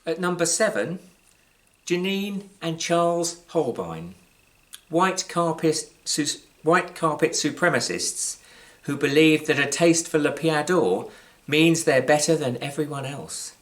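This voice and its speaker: A British man with a slightly high voice reads literary fiction as its author. His delivery is measured and wry, leaning on dramatic pauses. Emphasis shifts from phrase to phrase. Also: lively intonation. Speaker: author reading his own short story. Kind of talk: scripted literary storytelling, live reading.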